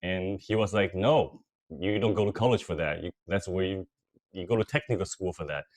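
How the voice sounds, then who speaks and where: Low-voiced man telling his career story. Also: moderate pace. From Taiwan and the United States. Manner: relaxed, filler-heavy storytelling. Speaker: guest speaker. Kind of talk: presentation on a video call. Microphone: video call.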